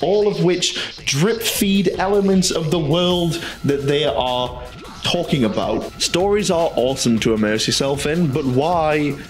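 Higher-pitched British man, roomy sound. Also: measured pace, faint music behind. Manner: jokey, chatty, self-interrupting. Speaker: video essay host. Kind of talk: casual scripted video-essay narration.

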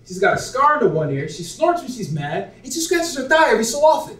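Young guy acting as a shallow lovestruck dude, high voice that swings all over, roomy stage far away. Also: quick pace, exaggerated voice. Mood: wistful. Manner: eager, animated and earnest. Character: shallow young man, smitten, doubting his fiancée. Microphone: far room mic. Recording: roomy theater sound from far off.

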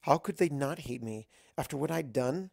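Gravelly-edged man narrating a story, dry sound. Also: medium pitch, lively intonation, moderate pace, darkish tone, no echo. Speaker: narrator of fan fiction. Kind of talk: expressive first-person audiobook-style narration.

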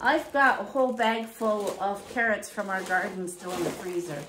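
A middle-aged woman from Canada chatting casually through her grocery haul in her home kitchen, reading prices aloud and thinking out loud. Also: mid-range voice, normal speed, heavy background noise. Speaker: vlogger. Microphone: fixed camera at table.

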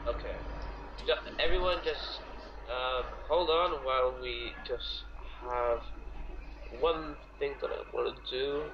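A man's voice: mid-range voice, slow, muffled recording, a crowd in the background. A young man hosting prank calls, chatting casually with friends on an online group call. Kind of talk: casual chat among friends. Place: indoors, on a video call.

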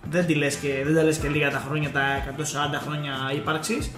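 A man's voice: fairly high pitch, little pitch movement, a bit bright. A man co-hosting a casual football podcast, chatty and reminiscing with joking asides. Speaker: podcast co-host. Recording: echoey room with faint music.

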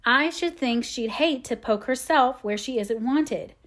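A woman acts out a bossy teenage girl from a storybook, in a raised, high voice. She is hot-tempered and impatient, scolding crossly like an older sister who will not be argued with. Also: sing-song, moderate pace.

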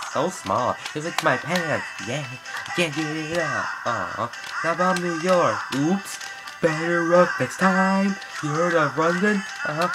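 Young man singing over loud music. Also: a little high, rough recording. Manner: sings casually over a backing track, chanting repeated hooks. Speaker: amateur singer. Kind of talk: singing along to a comic parody song. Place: small bedroom with bright yellow walls. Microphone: laptop webcam at close range. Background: loud backing music.

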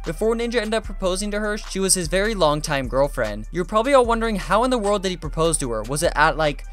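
A man narrating a countdown list video in an energetic, chatty way, often addressing viewers as "you guys". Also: high voice, fast talker, soft background music. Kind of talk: fast upbeat countdown narration.

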